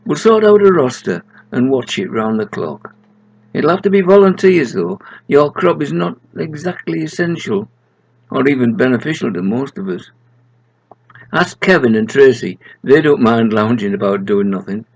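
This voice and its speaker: A man's voice: medium pitch, expressive, normal speed, creaky, dry recording, voice pushed up, low-quality recording. An older man voicing a reasonable, mildly dry official organiser in a read-aloud story. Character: measured, official male organiser.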